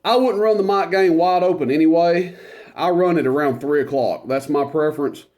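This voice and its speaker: A man with a loud voice, casually demonstrating a CB radio at his workbench, talking in a relaxed, rambling way with lots of fillers. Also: fairly high pitch, normal speed. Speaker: CB radio reviewer.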